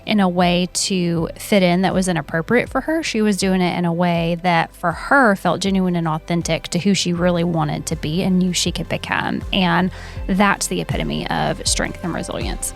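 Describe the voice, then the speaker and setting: A woman giving measured, explanatory commentary as an expert guest. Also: lowish voice, steady tone, soft background music. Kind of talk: reflective commentary.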